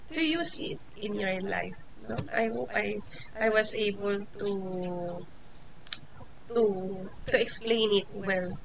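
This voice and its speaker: Filipino woman in her forties, unhurried informal class talk, hesitant with lots of fillers, crowd murmur behind. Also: mid-range voice, creaky, low-quality recording. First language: Tagalog. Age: 40s. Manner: hesitant, many fillers and restarts, laughs often. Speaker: teacher. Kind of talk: informal lecture.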